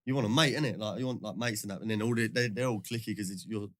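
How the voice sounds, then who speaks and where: Chatty man with a low voice. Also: fast talker, no echo, studio quality. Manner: chatty, laughs often, slangy. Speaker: guest, former footballer. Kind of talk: casual podcast storytelling.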